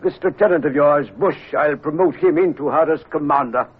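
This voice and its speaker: An actor playing a pious, severe admiral who speaks formally and rebukes others in a 1950s radio drama. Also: male voice, fairly high pitch, fairly quick, husky. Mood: stern. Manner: formal, reproving. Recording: tinny old radio broadcast.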